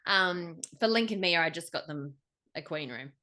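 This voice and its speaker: A woman's voice: fairly low pitch, lively intonation, normal speed, sharp tone. A woman in her forties with an Australian accent, co-hosting a podcast in casual, jokey, slangy chat. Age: 40s. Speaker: podcast co-host.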